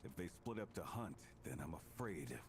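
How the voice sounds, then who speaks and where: An actor playing a gruff, sardonic, bossy male companion in a martial-arts video game, curt and mocking. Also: low-pitched, sing-song, moderate pace, background noise. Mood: wary.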